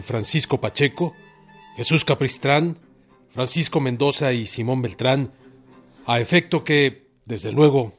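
A man playing a resolute revolutionary leader who dictates orders in a formal, deliberate, authoritative way in a radio drama. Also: mid-range voice, lightly acted. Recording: radio play with music underneath.

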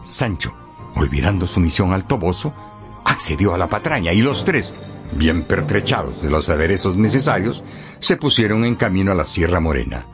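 A man narrating a scripted radio drama as a storyteller, in a dramatic and expressive way. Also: deep voice, normal speed, music in the background.

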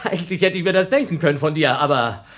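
An actor playing a scheming, desperate crewman on a space station in a German radio play, husky and insistent. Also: fairly high pitch, quick pace, a bit bright, natural voice. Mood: coolly scheming. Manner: domineering, theatrical, insistent.

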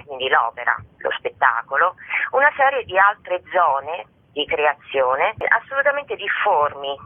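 Intellectual woman on a tinny phone line. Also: fairly low pitch. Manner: abstract, intellectual phrasing. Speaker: festival artistic director and guest. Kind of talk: explanatory radio phone interview.

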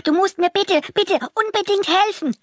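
An actress playing a scatterbrained, excitable little mouse boy in a children's audio play, talking in a muddled, exclaiming way. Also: extremely high pitch, moderate pace, no room sound, studio quality. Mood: flustered.

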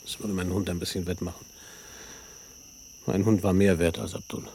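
A cool, cynical man talks in a radio play. His voice is low and gravelly, his delivery laconic and matter-of-fact, with crickets clearly heard behind him. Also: flat delivery, normal speed, dark tone. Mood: coldly bitter. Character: cool, cynical male expatriate living in Tunisia. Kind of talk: naturalistic radio drama dialogue. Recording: clear background noise of chirping crickets. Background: crickets, outdoor ambience.

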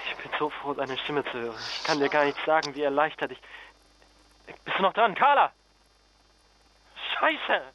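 An actor in a radio drama playing a frantic, worried husband and father caught in a disaster, pleading urgently and haltingly. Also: on the high side, big pitch swings, sharp tone. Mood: relieved, agitated. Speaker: radio worker informing the public. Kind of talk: modern radio drama acting. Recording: noisy radio or phone line, slightly dull.

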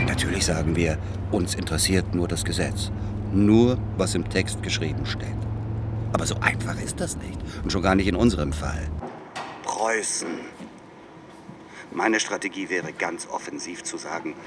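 Pompous lawyer in a radio play, deep voice, speechifying, car noise behind him. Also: male voice. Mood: self-assured. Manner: rhetorical, self-important, declaiming. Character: pompous, eloquent lawyer championing old Prussia. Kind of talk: German radio drama acting. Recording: clear background noise in a moving car. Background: vehicle noise.

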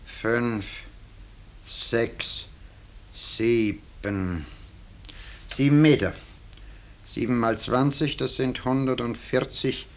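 An actor in a 1950s German radio drama playing a calm, sly arsonist lodger who is courteous, deliberate and matter-of-fact. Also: male voice, mid-range voice. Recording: faintly noisy 1950s radio recording.

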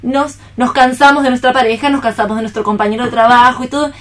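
A woman's voice: a little high, steady tone, fast, a bit bright. A woman in a casual round-table radio discussion, explaining her view in short, rephrased bursts. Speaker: radio theatre reader in a discussion.

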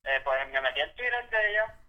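A young man, telling stories in animated bursts and cursing freely. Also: on the high side, fairly quick, dull audio. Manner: animated storyteller, curses freely. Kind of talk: casual chat.

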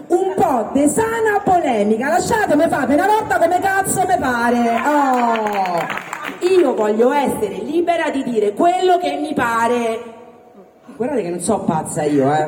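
A woman comedian with a Roman accent and a fairly high, swooping voice performs on stage. She is theatrical and mock-indignant, hitting words emphatically. The sound is echoey and off-mic. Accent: Roman Italian. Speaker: stand-up comedian. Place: live indoor stage before audience. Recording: echoey venue, distant mic, crowd noise.

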